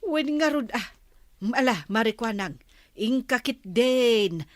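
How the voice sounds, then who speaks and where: An actress playing a vain, bossy middle-aged village wife in an audio drama, scolding in drawn-out phrases with an Ilocano Filipino accent. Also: female voice, on the high side, animated, no room sound, clean studio sound. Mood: defensive.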